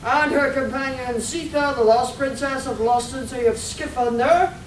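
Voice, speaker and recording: High-voiced woman narrator in echoey hall. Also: normal speed. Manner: theatrical storytelling. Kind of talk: scripted radio play narration. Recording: echoey hall, distant mic, noisy.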